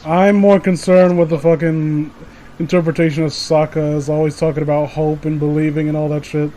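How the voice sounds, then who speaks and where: A man's voice: on the high side, flat delivery, fairly quick, dark tone, poor audio. A man giving casual, sarcastic and sweary joking commentary on amateur stories read aloud. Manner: sarcastic, profane.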